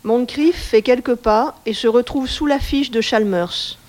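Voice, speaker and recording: A woman's voice: fairly high pitch, measured pace, a little mellow. A woman reading stage directions as the narrator of a scripted drama.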